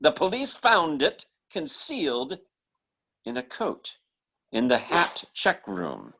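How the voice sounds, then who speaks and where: An actor playing an earnest, insistent telepathic stage mentalist in a radio mystery, speaking in a dramatic, halting, emphatic way. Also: male voice, fairly high pitch, huge pitch swings, creaky, sharp tone, a little hiss or hum, full character voice. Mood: grave, urgent. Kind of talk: old-time radio mystery drama acting.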